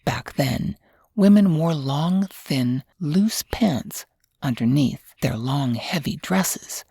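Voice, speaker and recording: A woman narrates a children's bedtime story, taking her time with every phrase. Her voice sits low, with a creaky edge, and it is recorded close and dry. The delivery is gentle and unhurried. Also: expressive, no room sound. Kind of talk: slow scripted bedtime storytelling.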